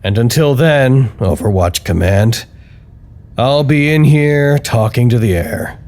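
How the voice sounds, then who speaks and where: An actor playing an anxious, earnest office worker who records wry, reflective, halting confessional reports in an audio drama. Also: male voice, medium pitch, darkish tone. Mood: rueful. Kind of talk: audio drama monologue, intimate log narration.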